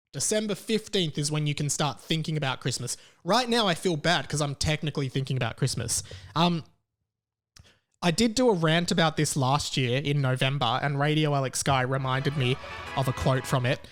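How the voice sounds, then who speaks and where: A man's voice: a little high, normal speed, a bit bright. A man in his twenties with an Australian accent, hosting a podcast solo in a casual, rambling way with repeated words for emphasis. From Melbourne, Australia. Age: 20s. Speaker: podcast host.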